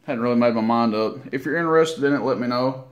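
Folksy man, casual demo. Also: medium pitch. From Tennessee, United States. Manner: folksy, unhurried, informal. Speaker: CB radio hobbyist presenting his equipment. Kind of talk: casual hands-on demo narration. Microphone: handheld camera mic, operator behind it.

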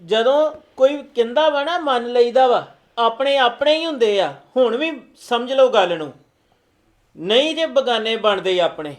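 A man's voice: very high voice, expressive, unhurried. A man playing a young relative firmly questioning others and organising a search for a missing mother. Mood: reasoning. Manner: urgent, questioning, firm. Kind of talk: amateur drama acting.

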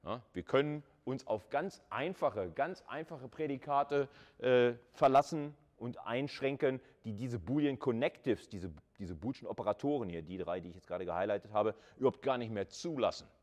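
A man's voice: mid-range voice, animated, normal speed, a little mellow. A man lecturing at a university in an informal, conversational way, thinking aloud and putting questions to students.

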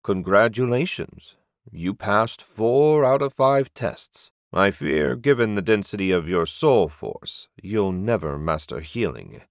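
A man voicing an aged, solemn high sorcerer who speaks in a slow, ceremonious way. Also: sing-song, gravelly, no echo, clean studio sound, exaggerated voice. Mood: grave advice.

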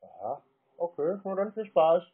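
A man on a phone call, baiting the caller in a terse, deadpan, dryly provocative manner. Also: sits high, normal speed, slightly rough, no room sound. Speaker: prank host answering scam calls. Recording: narrow, tinny phone line.

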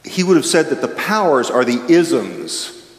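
A man's voice: medium pitch, measured pace. Older man preaching in an echoey chapel. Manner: reflective, animated with wide gestures, shifting pace. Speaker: chapel speaker. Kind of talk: chapel sermon. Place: large chapel with an audience. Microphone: stand microphone at a lectern. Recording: echoey chapel heard from far off.

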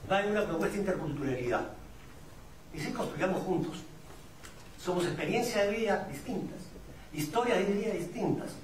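A man's voice: sits high, slight background noise. A middle-aged man with a Peruvian accent speaking at a public forum, reflective and didactic, posing rhetorical questions. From Peru. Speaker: migrant community representative speaking on a panel. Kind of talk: public talk at a discussion forum. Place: indoor event room at a public forum. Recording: echoey room, distant mic, audience sounds.

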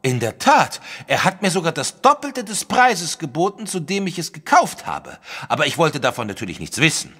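An actor playing a proud, stubborn gentleman art collector, huffy and emphatic, in a German audio drama. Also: a little high, wildly swooping pitch, moderate pace, few pauses, in a large hall, exaggerated voice. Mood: indignant, proud. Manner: huffy, emphatic, self-satisfied.

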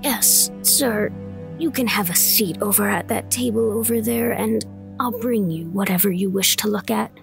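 A woman's voice: medium pitch, moderate pace, vocal fry, music in the background, natural voice. A woman playing a polite, helpful records librarian in an audio drama, with a courteous manner.

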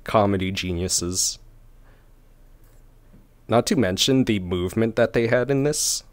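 A man's voice: lowish voice, a little slow, dry recording. A man giving casual reaction commentary, musing aloud in a conversational way. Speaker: reaction video host. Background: faint music from the trailer.

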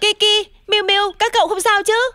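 A woman's voice: very high-pitched, little pitch movement, normal speed, dry recording. A woman voicing a small, cute magical helper creature in a children's cartoon, earnest and exclamatory. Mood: concerned. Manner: bright, exclamatory.

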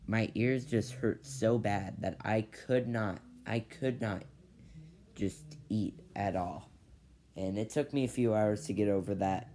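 A man's voice: on the low side, warm tone, a little hiss or hum. A teenage boy telling a story casually to his camera in his bedroom, rambling and restarting with lots of "basically". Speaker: vlogger. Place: bedroom with ceiling fan, indoors. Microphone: device camera at low angle.

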